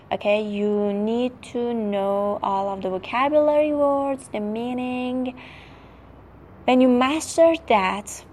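A woman's voice: a little high, a little mellow. A woman whose first language is Turkish, outlining an English lesson in an emphatic way and often checking in with "okay?". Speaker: English teacher.

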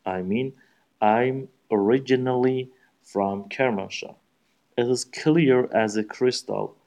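A man from Iran with an Iranian accent, speaking English as a second language and giving a prepared speech in formal, deliberate phrasing. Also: mid-range voice, a little mellow, dull audio. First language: Persian. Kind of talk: practice speech, memorized.

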